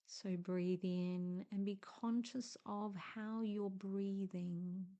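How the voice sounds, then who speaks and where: A woman leading a guided meditation in a gentle, hushed, soothing way, speaking in short deliberate phrases with long pauses. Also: mid-range voice, fairly even intonation.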